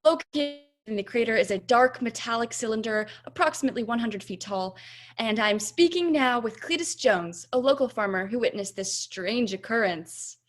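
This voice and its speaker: A woman's voice: fairly high pitch, normal speed, natural voice. A young woman in her late teens playing an earnest, formal radio news reporter in a comic radio play. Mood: serious. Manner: formal, dramatic announcing. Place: home room, on a video call.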